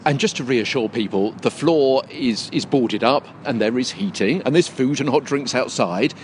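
A man's voice: mid-range voice, normal speed, slight background noise. Chatty man hosting on location. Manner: chatty, informal. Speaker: podcast host. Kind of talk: on-location interviewing and descriptive commentary. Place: inside a big top tent in a park.

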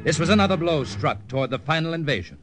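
Animated American old-time radio announcer man. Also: a little high, fast. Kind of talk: 1950s radio announcing, scripted. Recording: vintage radio broadcast with music behind.

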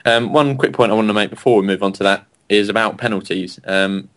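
Man, very low voice, rapid delivery, chatty fan-radio host, laughs a lot. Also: a bit bright. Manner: fast, chatty, laughs often. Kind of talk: casual football fan radio chat.

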